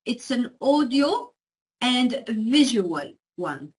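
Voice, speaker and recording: A woman's voice: on the high side, very animated, drawn-out words. A woman giving an explanatory lecture to students, often checking in with her listeners. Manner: frequent check-ins with listeners.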